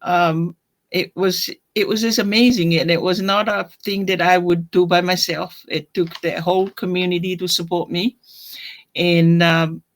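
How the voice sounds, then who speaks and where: An older woman from Tonga with a Tongan accent, telling her personal story in an interview in a reflective, halting way. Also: on the low side, fairly even intonation. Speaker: deacon, interview guest.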